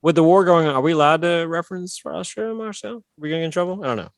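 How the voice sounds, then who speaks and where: A man's voice: on the high side, racing, vocal fry, dry recording, clean studio sound. A man co-hosting a comedy podcast, bantering playfully with teasing quips. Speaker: podcast co-host.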